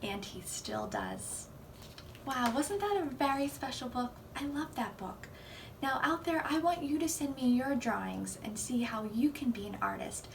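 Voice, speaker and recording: A woman reading a picture book aloud to children in an expressive, emphatic and encouraging way. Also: high voice, unhurried, creaky. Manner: emphatic, encouraging, addressing young viewers. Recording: distant mic, faint background noise.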